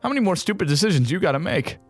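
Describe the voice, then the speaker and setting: An actor playing a menacing, taunting colonel who gives orders as a villain in an audio drama. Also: male voice, high voice, very animated, rapid-fire, breathy edge, full character voice. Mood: commanding. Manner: taunting, commanding. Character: menacing colonel villain holding hostages at gunpoint. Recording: music behind the voice.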